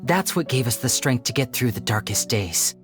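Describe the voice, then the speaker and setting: A man's voice: medium pitch, animated, normal speed, close to an everyday voice. A man voicing a playful, courteous young stage magician in a video game. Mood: earnest. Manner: theatrical, teasing and courteous. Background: game music.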